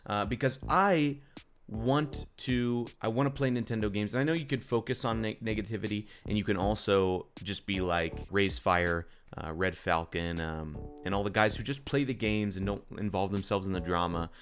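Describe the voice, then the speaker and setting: Guy with a kinda deep voice ranting about gaming news, slangy and fed up, faint music behind him. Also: moderate pace. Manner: exasperated, incredulous, slangy and emphatic. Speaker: gaming video creator. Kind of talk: casual unscripted rant commentary on gaming news.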